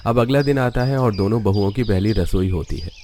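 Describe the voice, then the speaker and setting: A man reads scripted narration for a cartoon story. His voice is fairly low, with a dark, mellow tone. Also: a little fast, a little hiss or hum.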